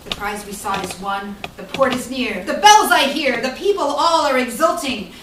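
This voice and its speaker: A melodramatic sailor mourns a fallen captain in a grand, hammy poetry recital, acted out by a woman. The voice is high and swoops widely, heard from far off in an echoey room. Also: expressive, normal speed, a bit bright. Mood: grand, proclaiming. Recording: echoey, noisy room, distant mic.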